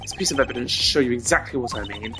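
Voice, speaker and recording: A man voicing a composed, elegant male prosecutor with a formal, measured, dry delivery. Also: mid-range voice, expressive, close to an everyday voice. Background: game music.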